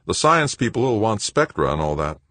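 A male narrator plays a seasoned lunar explorer, gruff and rugged. His voice is pushed up and forceful, swinging widely, with a dry, close sound. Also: fairly low pitch, very animated, normal speed, no echo, pitched above the performer's own voice. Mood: gruff, wistful.

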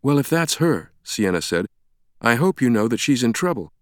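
A man voicing a brisk, blunt woman doctor in an audiobook, direct, assertive and matter-of-fact. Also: mid-range voice, very animated, dry recording, studio quality, pitched above the performer's own voice, lightly acted. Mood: urgent. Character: brisk, blunt young woman doctor who takes charge.

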